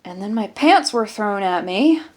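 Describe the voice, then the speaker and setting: A woman's voice: medium pitch, lively intonation, a little slow, a little mellow. A woman voicing an irritable male military sergeant in an audiobook story, sweary, indignant and commanding. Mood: stern, annoyed.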